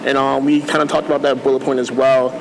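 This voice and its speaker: A man's voice: medium pitch, little pitch movement, fast talker, gravelly, background noise. Hesitant young man with many fillers. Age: young adult. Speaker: first-time protester. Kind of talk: panel discussion.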